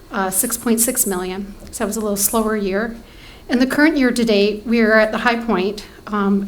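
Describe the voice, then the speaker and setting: A woman's voice: mid-range voice, slight background noise, low-quality recording. A woman giving a report at a township board meeting, talking conversationally with many ums and restarts, her voice fading from allergies.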